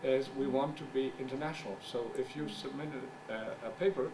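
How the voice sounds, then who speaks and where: A middle-aged man with a German first language gives a conference presentation, halting and searching for his English words. His voice sits at an ordinary middle pitch. It is picked up from a distance, with steady noise in the background. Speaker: journal editor giving a talk. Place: conference room.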